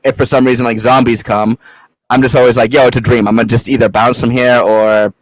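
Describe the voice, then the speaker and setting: A man's voice: mid-range voice, no room sound, low-quality recording. A young adult man from Massachusetts, a podcast guest chatting casually in a loose, rambling way with frequent swearing and fillers. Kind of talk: casual chat.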